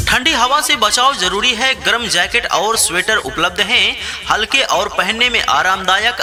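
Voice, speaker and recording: Guy with a high voice doing a pushy street sales ad, music playing behind him. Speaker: announcer for a street loudspeaker sales advert. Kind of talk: scripted promotional announcement.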